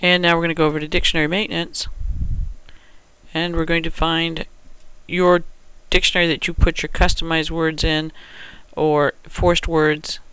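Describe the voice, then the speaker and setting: A man giving an unscripted step-by-step software tutorial, thinking aloud in a halting way as he works. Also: a little high, level tone, smooth, dry recording, low-quality recording.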